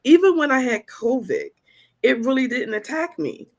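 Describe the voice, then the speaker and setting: A woman hosts a podcast in a casual, chatty way. Her voice sounds a little congested from the flu, and she talks with animated energy. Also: high voice, moderate pace. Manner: chatty, animated storytelling with laughs. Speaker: podcast host.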